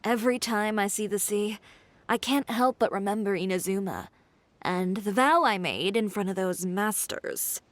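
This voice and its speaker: A woman's voice: mid-range voice, lively intonation, moderate pace, natural voice. A woman playing a blunt, fiercely determined young female fashion designer in a video game, composed, dry and self-assured. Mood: reflective. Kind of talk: video game character acting. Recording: game music behind voice. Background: game music.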